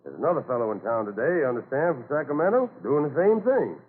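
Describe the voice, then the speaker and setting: An actor with an American accent playing a chatty, inquisitive lumber merchant in an old radio drama, talkative and businesslike. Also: male voice, mid-range voice, big pitch swings, fast talker, husky. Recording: narrow-band, muffled old radio recording.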